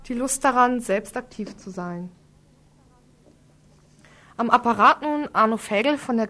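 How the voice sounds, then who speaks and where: A woman's voice: on the high side, fairly bright tone. A woman radio presenter reading a scripted report and introducing an interview.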